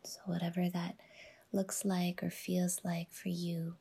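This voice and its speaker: A woman leads a guided meditation in a soft, breathy near-whisper. Her delivery is very slow and soothing, with long pauses. The pitch stays flat and even, settling gently from phrase to phrase. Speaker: yoga nidra guide and podcast host.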